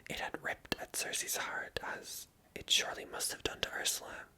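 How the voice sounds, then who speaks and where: A person reading a story aloud as ASMR narration in a hushed, unvoiced whisper. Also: moderate pace. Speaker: ASMR reader and channel host.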